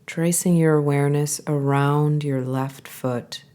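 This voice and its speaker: A woman guiding a body scan meditation, giving calm, gentle instructions in an unhurried way with pauses. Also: sits low. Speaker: meditation guide.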